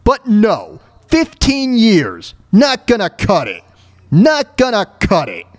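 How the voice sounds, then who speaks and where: A man hosting a talk show, delivering an opinionated solo rant in a halting, repetitive, emphatic way. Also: sits high, huge pitch swings. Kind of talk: opinionated solo rant reacting to news clips.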